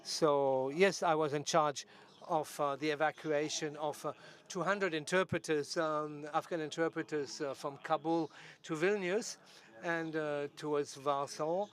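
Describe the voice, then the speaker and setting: A man giving live interpretation of a debate, his phrasing halting and piecemeal. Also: on the high side, fairly even intonation.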